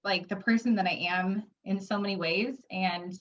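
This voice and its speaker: A woman in her twenties talks openly about her own life, stuttering often with repeated sounds and blocks. She fills pauses with filler words, and the recording sounds dull and slightly lo-fi. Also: medium pitch, fairly even intonation, a bit bright. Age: 20s. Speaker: interviewee. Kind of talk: personal testimony.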